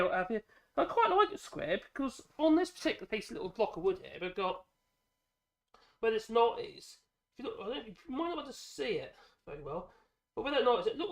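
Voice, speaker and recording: A man's voice: sits high, sharp tone. A middle-aged man with a head cold, hosting a woodworking vlog in a rambling, chatty way with self-deprecating jokes, recorded in his home workshop on a mic hung from the ceiling. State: congested with a cold. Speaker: woodworking channel host. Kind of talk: casual workshop vlog chat. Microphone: microphone hung from a ceiling clamp.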